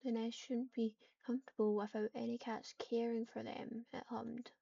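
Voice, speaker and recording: A woman reading roleplay lines aloud as she plays, in a flat, subdued way. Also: fairly high pitch, moderate pace, gravelly. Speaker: online roleplayer. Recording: muffled, distant mic with keyboard typing.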